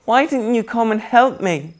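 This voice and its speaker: A young meerkat girl shrieks her warnings in a high, piercing voice, as a man puts her on for a storybook. She is mischievous and frantic, her calls loud and breathless. The low reading voice of the narrator is pushed far up into her squeal. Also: a little slow. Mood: sobbing. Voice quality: high shrill barking calls. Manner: frantic crying out, later sobbing. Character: young mischievous female meerkat, shrill lookout.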